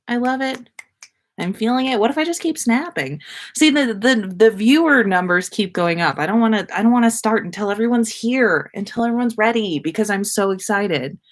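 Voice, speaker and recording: A woman's voice: a little high, big pitch swings, normal speed. A woman hosting a casual livestream, chatting to viewers in an effusive, rambling, playfully self-aware way. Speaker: livestream host.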